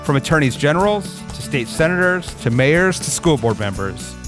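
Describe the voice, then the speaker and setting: A man's voice: on the high side, moderate pace. Guy co-hosting a podcast, friendly and easygoing, bit of music behind. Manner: friendly, scripted intro then relaxed chat. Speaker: podcast co-host.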